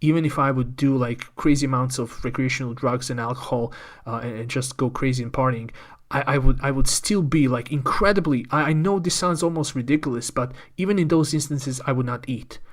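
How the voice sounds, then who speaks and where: A man's voice: medium pitch, brisk. A man, speaking as a podcast guest and candidly telling a personal story, often repeating words as he thinks. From Croatia. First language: Croatian. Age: 30s.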